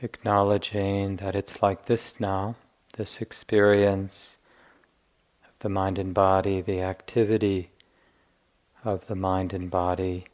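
A man guiding a meditation as a teacher, speaking gently and deliberately with long pauses. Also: sits low, level tone. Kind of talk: guided meditation instruction.

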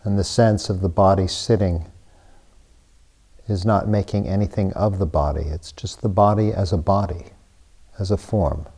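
A man with a very low, mellow voice guides a meditation. He speaks in short, hushed phrases and leaves generous pauses between them. The tone is dark and relaxed. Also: husky. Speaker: meditation teacher.

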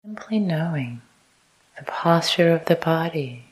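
Casting: female meditation teacher, low register, very slow and soft, breathy near-whisper, calm repeated phrases. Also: poor audio. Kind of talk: guided meditation. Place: meditation retreat talk.

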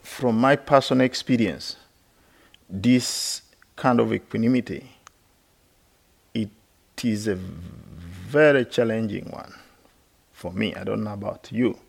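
Gravelly low-voiced man giving a dharma talk. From Uganda. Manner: halting, many fillers, explanatory; laughs while telling stories. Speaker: Buddhist monk teacher. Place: meditation retreat hall.

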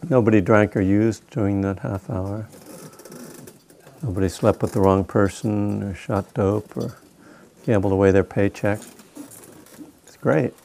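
A man's voice: fairly low pitch, steady tone, measured pace, vocal fry, pauses often, warm tone, a little hiss or hum. A man leading a meditation group's question time, easygoing and wry, with many fillers. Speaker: meditation teacher. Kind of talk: relaxed Q&A guidance. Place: meditation hall.

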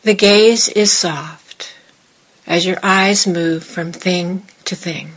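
A woman with a slightly low voice leads a guided meditation. She speaks very slowly, in short measured phrases, and leaves long pauses between them as a teacher giving instructions. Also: steady tone, a bit bright.

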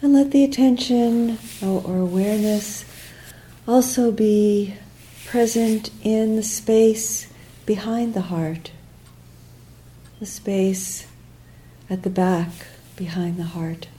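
Very mellow, slow-speaking woman guiding meditation. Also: mid-range voice, steady tone, a little hiss or hum, low-quality recording. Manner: soft, unhurried, with long pauses between short phrases. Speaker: meditation teacher. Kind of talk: guided meditation.

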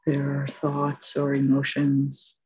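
A woman meditation teacher giving guided instructions in a soft, gentle, unhurried way. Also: very deep voice, monotone, slight background noise. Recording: dull, muffled lo-fi recording.

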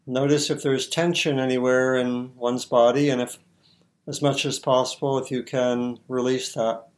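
A man teaching meditation, giving guided instructions in short, measured phrases with long pauses. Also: mid-range voice, flat delivery.

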